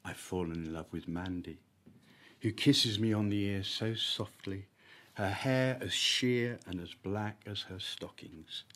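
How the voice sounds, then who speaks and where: A man with a fairly low voice reads his own short story at a live literary event. His phrasing is deliberate and emphatic, timed for wry comic effect. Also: a bit bright. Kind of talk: live dramatic story reading.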